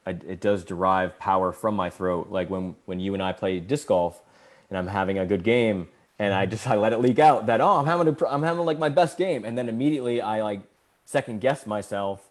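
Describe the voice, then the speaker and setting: A man co-hosting a podcast, talking earnestly in a casual spiritual chat, rambling a little and getting animated as he tells stories. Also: on the low side. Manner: earnest, rambling, gets animated when telling a story. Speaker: podcast co-host.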